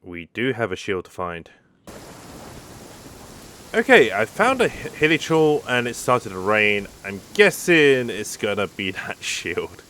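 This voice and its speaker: A woman's voice: very deep voice, very animated, unhurried, a bit bright, a little hiss or hum. A woman streamer giving casual let's-play commentary with dry, sceptical asides. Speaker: gaming streamer.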